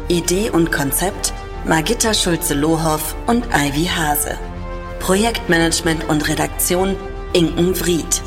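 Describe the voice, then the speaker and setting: A woman announcer reading scripted podcast credits. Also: low-pitched. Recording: music under the voice. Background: music.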